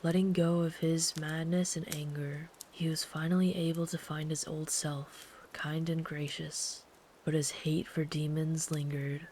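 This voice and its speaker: A woman playing a kind, protective traveler in an ASMR sleep-aid roleplay, speaking gently and soothingly in a hushed, partly whispered voice. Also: low voice, little pitch movement, unhurried, slight background noise, rough recording. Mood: hushed, storytelling. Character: kind, protective traveler comforting a runaway by a campfire.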